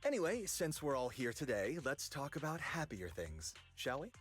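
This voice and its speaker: Genial, animated sanatorium director. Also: male voice, mid-range voice, normal speed. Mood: genial, chatty. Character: smooth-talking male sanatorium director, outwardly friendly and generous. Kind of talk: video game voice acting. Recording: game audio over background music.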